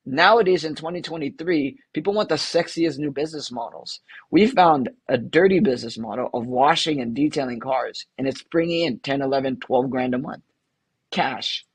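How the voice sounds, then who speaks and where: A man from the Philippines, a guest entrepreneur telling long stories in an energetic, casual interview way. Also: mid-range voice, normal speed, slightly rough, dry recording.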